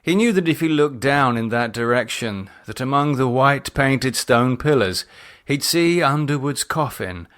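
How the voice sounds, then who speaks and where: Casting: male audiobook narrator, mid-pitched, animated delivery of scripted fiction. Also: moderate pace.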